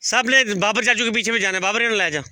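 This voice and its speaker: A father with a Punjabi accent calls out to his children in a high, very bright voice. He sounds cheerful as he directs them along an outdoor village trail. A handheld camera picks him up in the open air. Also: fast. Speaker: father and vlogger. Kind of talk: casual on-location talk.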